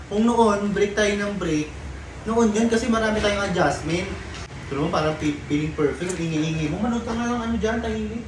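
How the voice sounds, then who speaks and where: A man's voice: sits high, moderate pace. Distant, defensive man in noisy room. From Philippines. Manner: defensive, grumbling, repeats retorts. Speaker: boyfriend being pranked in a couple's vlog. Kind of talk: casual bickering. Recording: echoey room, distant mic, clear noise.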